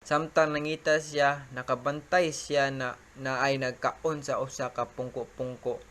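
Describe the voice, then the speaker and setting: A man's voice: medium pitch, little pitch movement, a little slow, fairly bright tone. A man narrating an amateur radio drama in a plain storytelling manner.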